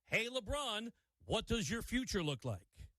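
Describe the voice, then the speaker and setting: A man's voice: high-pitched, very animated, unhurried, sharp tone, dry recording. An older man hosting a sports talk radio show, giving an opinionated monologue with wry asides. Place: radio studio.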